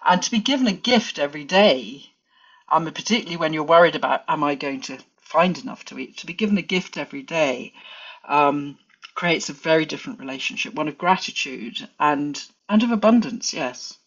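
A woman with an English accent, a guest forager talking in a relaxed interview, thoughtful and full of restarts and fillers. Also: sits low, fairly quick, highs cut off. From England. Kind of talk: casual interview chat.

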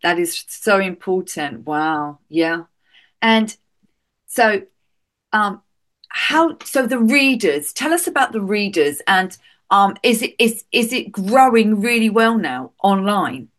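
A woman's voice: mid-range voice, expressive, slow, a bit bright. A woman hosting an interview, encouraging her guest with frequent praise and affirmations. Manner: encouraging, lots of "brilliant" and affirmations. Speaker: interview host. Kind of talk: casual interview chat.

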